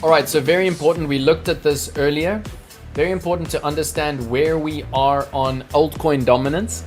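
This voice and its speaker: A man hosts a live crypto trading stream. He sounds hyped and salesy, and he keeps calling out to his chat. His voice sits a bit high, with soft music underneath. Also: steady tone, normal speed. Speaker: crypto trading livestream host.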